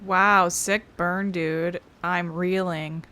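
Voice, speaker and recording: A woman's voice: fairly low pitch, steady tone, extremely slow, sharp tone, a little hiss or hum. A woman streaming a video game with casual, slangy, reactive commentary. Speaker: gaming streamer. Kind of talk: casual gameplay commentary with reading aloud.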